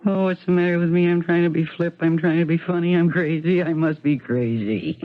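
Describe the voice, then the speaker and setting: An actress playing a distraught, suicidal wife in 1970s radio drama, halting, rambling and bitterly flippant. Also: female voice, fairly low pitch, close to an everyday voice. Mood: despairing, restless. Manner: halting, rambling, self-questioning. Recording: muffled, thin 1970s radio broadcast.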